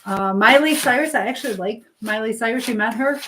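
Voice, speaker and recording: A woman's voice: on the high side, fairly quick, fairly bright tone. Woman live streamer, noisy recording. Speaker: livestream host answering live chat. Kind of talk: casual live chat, reading comments aloud and replying.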